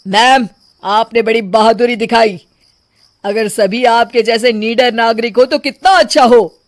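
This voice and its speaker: A man playing a stern, officious village policeman in an animated story, authoritative and formal. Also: very high voice, measured pace. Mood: approving. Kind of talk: animated moral story acting.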